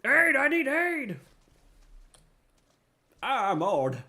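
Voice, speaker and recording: A man's voice: very high voice, wildly swooping pitch, extremely slow, bright, forceful tone, pitched above the performer's own voice, heavily acted. A man voicing a frightened grandparent villager from a fantasy game, pleading urgently for help. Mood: panicked, pleading. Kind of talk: reading fantasy game dialogue aloud.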